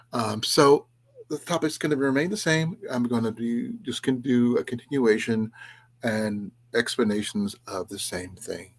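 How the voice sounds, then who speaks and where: A man with a slightly raspy voice hosting a casual livestream, talking in a halting, rambling way with restarts and trailing asides as he chats with viewers. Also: on the low side. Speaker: livestream host of an outdoors and preparedness channel. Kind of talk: casual livestream chat, reading and answering viewers' comments.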